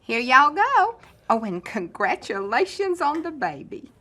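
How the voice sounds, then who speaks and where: An actress playing a chirpy, folksy diner waitress in an audio drama, with an American accent. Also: female voice, high voice, big pitch swings, unhurried, pushed and bright, heavily acted. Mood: cheery. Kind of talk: naturalistic audio fiction acting.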